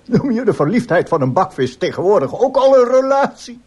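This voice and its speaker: An actor playing an indignant, haughty brother being questioned by police in a radio drama. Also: male voice, high-pitched, big pitch swings, brisk, a little mellow, breathy edge, heavily acted. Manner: stammering when flustered, haughty. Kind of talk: Dutch radio drama acting.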